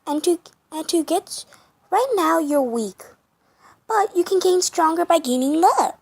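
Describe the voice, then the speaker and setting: A woman's voice: extremely high pitch, expressive, unhurried, creaky, dry recording, pitched above the performer's own voice, exaggerated voice. A woman voicing a falsely cheerful flower creature in an amateur comic dub, with a chirpy, overly friendly manner. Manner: chirpy, overly friendly, mid-word restarts.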